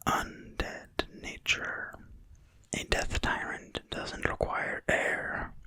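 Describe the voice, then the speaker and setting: An ASMR creator reading in a quiet, breathy, soothing whisper with no voicing at all. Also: no echo. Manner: quiet, slow, soothing delivery. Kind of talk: whispered ASMR reading of a fantasy game manual.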